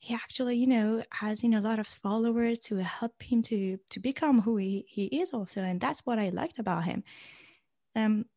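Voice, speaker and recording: A young woman from Iran, a guest in an interview, speaking natively and answering in a halting way with many fillers and restarts. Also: a little high, mellow, dry recording, clean studio sound. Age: young adult.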